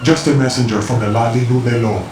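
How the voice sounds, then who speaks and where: A man playing a mysterious informant in a video game, formal and measured, with a cool, condescending manner. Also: mid-range voice, fairly even intonation, echoey room, background noise, lightly acted. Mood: cool, superior. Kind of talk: dramatic video game voice acting.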